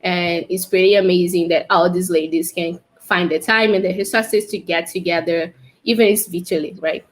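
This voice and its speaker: A woman's voice: fairly low pitch, fairly even intonation, moderate pace. Chatty woman with a Brazilian accent. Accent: Brazilian Portuguese. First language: Portuguese. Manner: chatty, laughs often, frequent fillers. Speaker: guest, developer advocate. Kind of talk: casual livestream interview.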